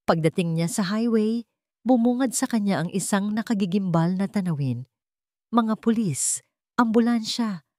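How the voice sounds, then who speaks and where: A woman's voice: medium pitch, darkish tone, no room sound. A woman reading a dramatic romance story in an emotive, melodramatic way. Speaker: story narrator.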